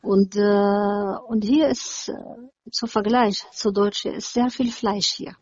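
A woman's voice: medium pitch, steady tone, slow pace, darkish tone, slightly rough, dry recording, lo-fi sound. A woman in her seventies from Syria with a Syrian Arabic accent, talking hesitantly with many fillers as an interview guest. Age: 70s. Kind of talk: casual interview chat.

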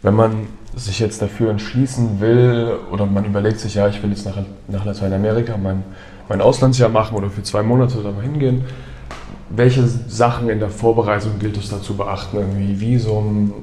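A man hosting a podcast interview, asking measured questions with occasional restarts. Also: fairly low pitch, little pitch movement, dark tone. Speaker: podcast host. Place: language school room. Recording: roomy echo, faint background noise.

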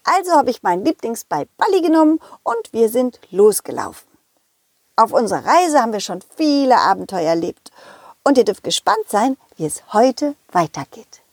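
A woman's voice: a little high, very animated, moderate pace, slightly rough, no room sound, heavily acted. A woman voicing a cheerful, loyal male dog who tells his own adventure to children in a chatty, giggly way. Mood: friendly. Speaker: storyteller. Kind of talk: children's audio play narration.

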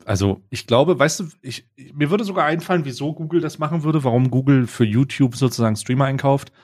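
Young German man, mid-pitched, halting casual chat. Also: a little mellow. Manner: halting, slangy, searching for words. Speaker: podcast co-host. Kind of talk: casual unscripted podcast chat.

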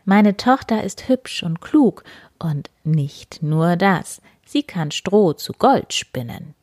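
A woman's voice: on the low side, lively intonation, slow pace, darkish tone, breathy edge, no echo, clean studio sound, exaggerated voice. A woman voicing a boastful, fretting miller in a fairy tale.

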